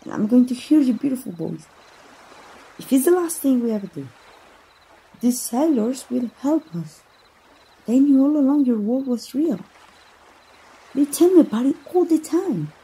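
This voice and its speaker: Breathy, mellow young princess, acted by a woman. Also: high-pitched, sing-song, exaggerated voice, low-quality recording. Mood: tender, determined. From Mexico. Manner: halting, emotive, with gasps and sighs. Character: tender young princess, awed and lovestruck. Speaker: voice actor. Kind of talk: romantic ASMR roleplay acting.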